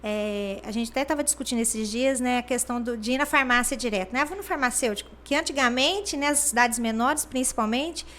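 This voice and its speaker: A woman's voice: on the high side, fairly quick, few pauses, fairly bright tone. A woman, a regional health official explaining things in an interview with many fillers. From Brazil. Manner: explanatory, many fillers like "né", acts out quoted people. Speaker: regional health superintendent, guest.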